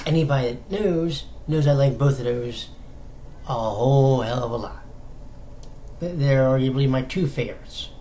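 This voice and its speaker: An American man giving casual fan news commentary as a solo video host, in a halting, conversational manner with rhetorical questions. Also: mid-range voice, warm tone. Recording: noticeable background noise.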